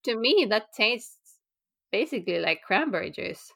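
A woman's voice: mid-range voice, very animated, normal speed, creaky, fairly bright tone. Teasing, blunt woman podcast host. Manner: blunt, teasing, matter-of-fact. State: pregnant. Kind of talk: casual chat.

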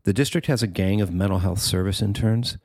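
A man's voice: fairly low pitch, a little fast, darkish tone, no echo, studio quality. A middle-aged man reading a scripted personal feature as its narrator. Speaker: writer and narrator.

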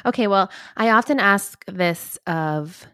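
A woman hosting a podcast, asking her guest gentle questions and often saying "like". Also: medium pitch, slow, clean tone, dry recording, studio quality. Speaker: podcast host. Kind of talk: podcast interview.

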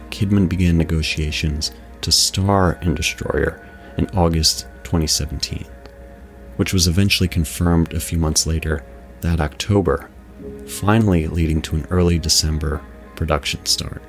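A man's voice: deep voice, normal speed, faint music behind. A man hosting a podcast, delivering scripted film commentary in an articulate, formal manner. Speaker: podcast host.

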